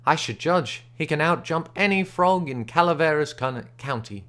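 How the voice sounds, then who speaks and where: A man's voice: a little high, animated, normal speed, fairly bright tone, voice pushed up. A man voicing a boastful, easygoing frontier gambler who talks with a folksy drawl and backwoods grammar. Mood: easy, bragging.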